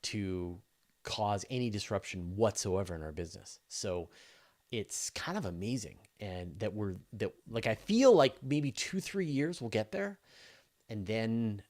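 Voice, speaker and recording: A man with a fairly low voice hosts an informal live Q&A. He talks casually, working through his thoughts out loud in broken, conversational phrases. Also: animated, a little slow. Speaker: host of a space news channel.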